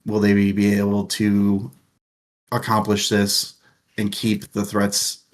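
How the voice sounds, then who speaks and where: Young male co-host, low voice, unhurried delivery, rambling and quick to laugh. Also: monotone. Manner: rambling, laughs often, crude jokes. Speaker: book discussion co-host. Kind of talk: casual chat.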